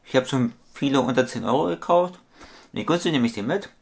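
A man's voice: mid-range voice, expressive, quick pace, creaky, breathy edge. A man in his thirties talking casually to a webcam in a small room at home, rambling and repeating himself as he shows a collectible figure. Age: 30s. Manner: rambling, repetitive, corrects his own sums aloud. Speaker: toy collector vlogger. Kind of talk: casual vlog monologue.

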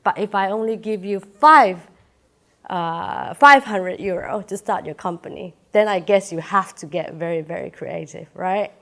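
A woman's voice: fairly low pitch, measured pace, gravelly, a bit bright. A woman in her thirties from Malaysia, Chinese her first language, with a Malaysian accent, giving a chatty, personal keynote talk to an audience while feverish. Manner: chatty, personal, laughs often, frequent "uh" fillers. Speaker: keynote speaker. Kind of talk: inspirational talk. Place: lecture room with audience.

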